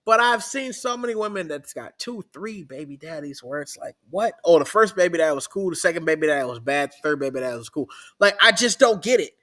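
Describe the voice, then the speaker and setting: A man's voice: a little high, expressive, fast talker, hardly any pauses, pushed and bright. A man co-hosting a casual podcast, rambling through stories and opinions. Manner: rambling, emphatic storytelling. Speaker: podcast co-host. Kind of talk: casual crude banter.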